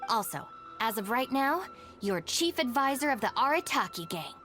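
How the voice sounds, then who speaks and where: A woman's voice: high voice, wildly swooping pitch, moderate pace, a bit bright, faint music behind, full character voice. A woman playing a reserved, dutiful young gang deputy in a video game, polite, restrained and matter-of-fact. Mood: resigned, awkward. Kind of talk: English video game voice acting.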